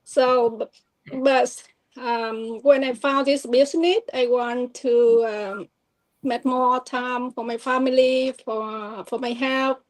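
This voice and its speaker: Panel guest: woman, Vietnamese accent, halting broken English, flat high voice. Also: rough recording. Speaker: guest entrepreneur. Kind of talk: live online panel. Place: home in California.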